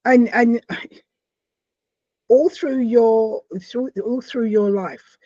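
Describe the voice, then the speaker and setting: A woman from South America hosting a podcast interview, talking in halting, repeated starts with animated bursts. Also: medium pitch, dry recording, muffled recording. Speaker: podcast host. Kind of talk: conversational interview.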